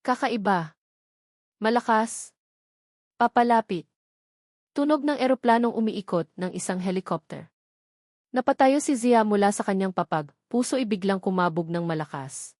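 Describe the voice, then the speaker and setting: A woman with a Filipino accent reading scripted romantic drama narration in a measured, emotive way. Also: medium pitch, a little mellow, dry recording, studio quality. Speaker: audiobook story narrator.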